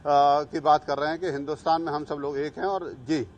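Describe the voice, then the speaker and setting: A man giving an unscripted live news report, halting and long-winded as he searches for words. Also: a little high, fairly even intonation.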